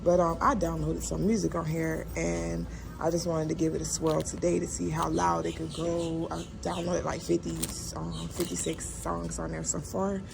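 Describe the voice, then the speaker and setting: A woman talks through a product in a folksy, informal way. Her voice sits on the low side and is very gravelly with fry. Music plays behind her. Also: flat delivery, normal speed. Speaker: product reviewer. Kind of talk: casual hands-on product demo.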